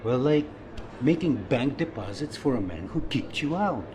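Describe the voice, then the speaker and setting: A man's voice: mid-range voice, lively intonation, moderate pace, noisy background, close to an everyday voice. An actor playing a wounded, jealous older man in love in a film drama, earnest and pressing as he talks. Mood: probing, suspicious. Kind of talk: naturalistic film drama dialogue.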